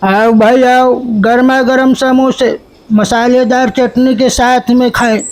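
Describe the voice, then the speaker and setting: A man voicing a samosa seller who calls out loudly to passing customers in a high, flat voice. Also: slow. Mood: hawking. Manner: high shouted street-vendor hawking.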